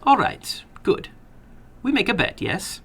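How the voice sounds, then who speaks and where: A man voicing a funny little foreign gambler in a dramatic story, soft and toneless, slowly coaxing in courteous broken English with quiet menace. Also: high-pitched, very animated, breathy edge, pitched above the performer's own voice, heavily acted. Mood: coaxing, sly.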